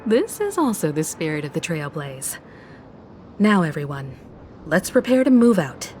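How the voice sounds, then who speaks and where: A woman voicing a poised, gracious mature leader in a game who speaks in a calm, courteous way. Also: low-pitched, unhurried, a little hiss or hum. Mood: composed.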